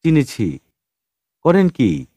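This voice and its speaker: Male reader as quietly philosophical wanderer, mellow voice, animated pitch, calm, whimsical, teasing, storybook dialogue. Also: takes it slowly, dry recording, heavily acted. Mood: calm, wry.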